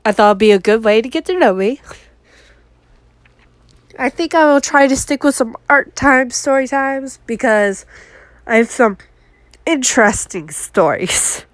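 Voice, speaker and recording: A congested woman telling casual, animated stories about her art mishaps as a vlogger. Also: fairly high pitch, measured pace, long pauses, no echo. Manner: chatty, animated, acts out her own exclamations. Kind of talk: casual story time.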